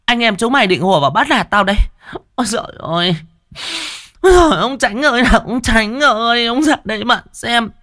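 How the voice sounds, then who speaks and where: Man doing a shrill scheming stepmother. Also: animated, brisk, pushed and bright, no echo, clean studio sound, heavily acted. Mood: wailing. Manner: screeching, wailing, scolding. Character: shrill scheming stepmother, middle-aged woman.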